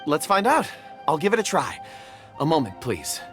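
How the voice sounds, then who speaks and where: A man's voice: on the high side, huge pitch swings, moderate pace, music in the background. A man acting a polite, earnest and slightly hesitant young man in a video game. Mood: eager, polite. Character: polite, earnest young man who reads ore memories.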